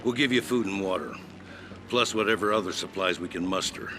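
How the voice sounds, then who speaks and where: An actor voicing a gruff, suspicious, menacing leader of underground survivors in a video game. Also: male voice, deep voice, brisk, noisy background, lightly acted. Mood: stern warning. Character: wary, threatening male leader of tunnel survivors. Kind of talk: video game cutscene acting.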